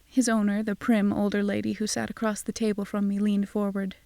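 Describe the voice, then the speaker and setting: A woman's voice: mid-range voice, level tone, fairly quick, gravelly, warm tone, no room sound. A woman narrating a scripted first-person audio drama in a wry, sardonic manner.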